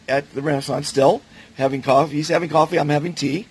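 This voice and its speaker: A man talking casually and enthusiastically to his camera outdoors, his voice going hoarse from overuse. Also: a little high, steady tone, quick pace, a little mellow. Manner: upbeat, enthusiastic. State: losing his voice. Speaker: vlogger. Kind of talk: casual vlog chat. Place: outdoors at a resort, windy.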